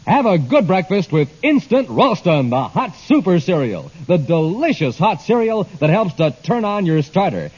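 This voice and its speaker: A man's voice: high-pitched, darkish tone, husky. A man with an American accent announcing in 1950s radio style, with a punchy, enthusiastic hard-sell delivery. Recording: dull, hissy old radio recording.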